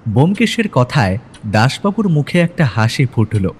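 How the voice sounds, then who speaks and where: A man's voice: mid-range voice, very animated, moderate pace, darkish tone, a little hiss or hum. A man narrating a scripted audio story in the first person. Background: clear music.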